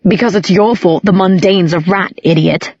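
Woman doing a smug, sarcastic young man, husky. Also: fairly low pitch, normal speed, darkish tone, no room sound, highs cut off. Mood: mocking, amused.

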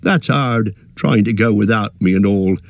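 A man's voice: fairly low pitch, unhurried, gravelly, no room sound. A man voicing a loyal, plain-spoken male hobbit servant in an audiobook, with rustic, earnest, stubborn speech. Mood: hurt, pleading.

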